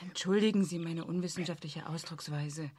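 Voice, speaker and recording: A woman's voice: low voice, moderate pace, background noise, lightly acted. An actress in a radio drama playing a sceptical female interviewer who questions in a pointed, dryly challenging way.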